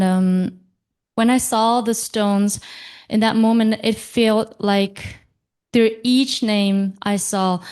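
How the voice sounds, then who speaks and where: A young woman from Japan, speaking as a panelist at a conference in a slow, halting way, with fillers and self-corrections. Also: medium pitch, darkish tone, clean tone.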